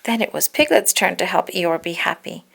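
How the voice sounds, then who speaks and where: A woman reading a children's storybook aloud in an expressive narrating style, now and then correcting a slip. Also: on the low side, moderate pace, gravelly, husky. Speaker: storybook reader.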